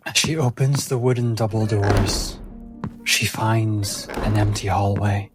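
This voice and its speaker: A man narrating a fantasy tabletop game as game master, with measured, dramatic pauses. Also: on the low side, fairly even intonation, over loud music.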